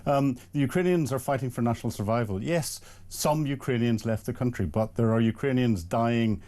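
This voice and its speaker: A man giving panel commentary on a TV debate, measured and hesitant, with many ums and uhs. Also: mid-range voice, dark tone.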